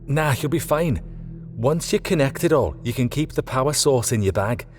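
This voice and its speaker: A man voicing a sharp, practical woman engineer in an audiobook, brisk and confident, firm yet friendly. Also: medium pitch, lively intonation, long reverb tail, faint music behind, pitched above the performer's own voice, natural voice.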